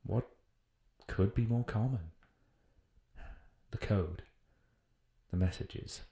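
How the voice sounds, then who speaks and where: A British man playing an earnest naturalist who muses formally and deliberately in a scripted audio drama field report. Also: lowish voice, mellow. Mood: musing, earnest. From United Kingdom. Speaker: voice actor. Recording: roomy, echoing voice recording.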